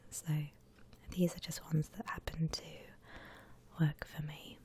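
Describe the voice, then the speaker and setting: A woman whispering breathily and gently as she hosts an unhurried ASMR art tutorial. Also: low voice, flat delivery. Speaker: host of an ASMR drawing channel. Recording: faint background noise.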